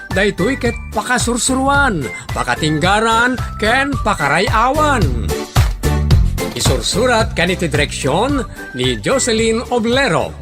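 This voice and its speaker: A man with a Filipino Ilocano accent hosting and announcing a comedy drama in scripted style. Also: sits high. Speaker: announcer and host.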